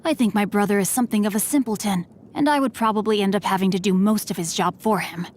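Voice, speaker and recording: A woman voicing a refined, courteous young male swordsman and scholar in a game, speaking politely and formally with a gently teasing edge. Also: medium pitch, quick pace, close to an everyday voice. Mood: polite, composed. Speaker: game character voice lines. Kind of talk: scripted game voice lines.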